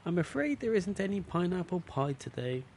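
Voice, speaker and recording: Prim butler with creaky high voice. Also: male voice, sing-song, moderate pace, a little mellow, slight background noise. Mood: dry, formal. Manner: formal, droll. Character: prim, dry, deferential butler of a manor.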